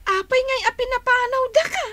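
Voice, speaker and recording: A woman acting an excitable, teasing young daughter in an Ilocano radio drama, speaking with an Ilocano accent. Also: extremely high pitch, fairly quick. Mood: concerned. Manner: exclamatory, teasing. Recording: AM radio drama with background noise.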